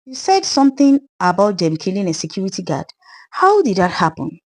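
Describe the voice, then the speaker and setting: A woman's voice: mid-range voice, big pitch swings, fairly quick, a little mellow. Woman voicing Eze, a sympathetic Nigerian man. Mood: concerned. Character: sympathetic, cautious male worker Eze.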